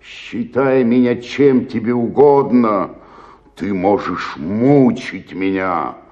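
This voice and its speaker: An actor playing an older, self-pitying tragic stage actor in a radio play, declaiming theatrically and swinging from murmur to roar. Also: male voice, on the low side, takes it slowly, a little mellow, muffled recording. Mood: insistent, bitter.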